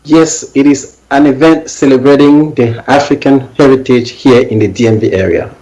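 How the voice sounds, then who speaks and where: A man's voice: mid-range voice, fairly even intonation, moderate pace, dark tone, highs cut off. A middle-aged man from Cameroon, a native French speaker with a Cameroonian accent, answering questions as an interview guest. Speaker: interview guest, event organizer.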